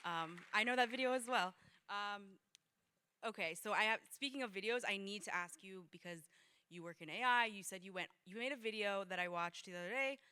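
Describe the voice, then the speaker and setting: A woman hosting an interview, asking curious questions in a soft, easy way. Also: mid-range voice, fairly quick, clear, resonant tone. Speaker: interviewer host. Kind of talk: hosted interview.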